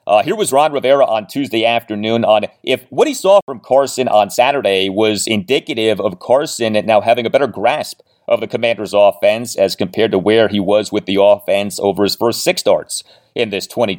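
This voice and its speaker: A man's voice: lowish voice, fairly quick, darkish tone. Emphatic male sports podcast host. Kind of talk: sports commentary, presenting and analysing interview clips.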